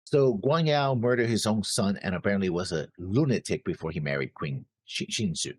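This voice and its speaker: A man co-hosting a reaction show in casual chat, animated but halting, often correcting himself as he goes. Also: lowish voice, husky, dry recording.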